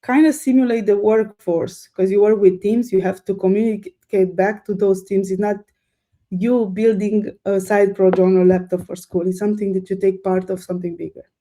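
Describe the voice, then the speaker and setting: A woman's voice: medium pitch, fairly even intonation, mellow. A woman in her thirties with a Romanian accent, a guest telling stories eagerly in a casual interview. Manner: fast, eager storytelling with fillers.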